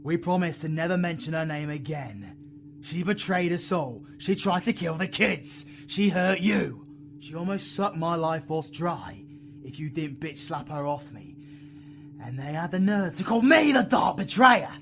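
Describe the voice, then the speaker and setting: Man acting brooding British supervillain, higher-pitched voice. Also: animated, measured pace, fairly bright tone, a little hiss or hum. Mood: angry, bitter. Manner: intense, swearing, slangy. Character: brooding, foul-mouthed male dark-magic supervillain with fierce resolve. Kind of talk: dramatic audio roleplay acting.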